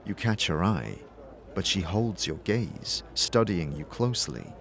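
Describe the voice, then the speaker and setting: A man's voice: sits low, sing-song, unhurried, gravelly, fairly bright tone, a little hiss or hum. A man narrating a scripted second-person story for an audio drama.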